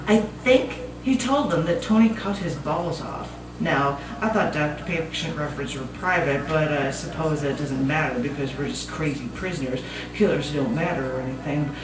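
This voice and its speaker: A woman performing a live stage monologue as a restless, bitter imprisoned woman, rambling and defensive in a halting, conversational way. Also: low voice, close to an everyday voice. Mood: agitated storytelling. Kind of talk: dramatic monologue read on stage. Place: small cabaret venue, live show. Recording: distant echoey live venue, loud music behind. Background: loud music.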